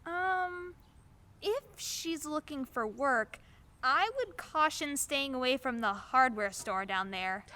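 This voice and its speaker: A woman's voice: high voice, lively intonation, slow pace, sharp tone, heavily acted. A woman acting in a radio play as a chatty, awkward, eager-to-please young neighbor who gushes and apologizes. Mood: helpful, eager. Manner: gushing, apologetic, hesitant.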